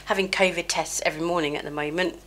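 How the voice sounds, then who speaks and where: A woman's voice: lowish voice, steady tone, fast, heavy background noise. A middle-aged woman doing her makeup at home while chatting in a rambling, self-mocking way, slightly chesty with a cold. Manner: chatty, scattered, self-mocking, many fillers. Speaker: beauty vlogger. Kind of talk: rambling get-ready-with-me chat. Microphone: small mic clipped near her, sometimes covered.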